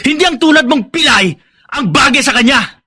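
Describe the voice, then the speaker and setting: A man's voice: extremely high pitch, expressive, normal speed, sharp tone, heavily acted. An actor playing a cocky, conceited young ladies' man in a Filipino radio drama, boastful and insistent in a Filipino accent. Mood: angry, threatening.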